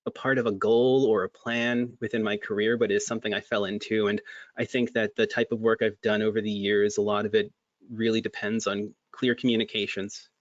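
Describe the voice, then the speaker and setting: A man's voice: lowish voice, flat delivery, fairly quick, no room sound, dull audio. A man speaking as a guest in a webinar, talking casually about his career, with many restarts and fillers. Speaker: guest, MSP creative director.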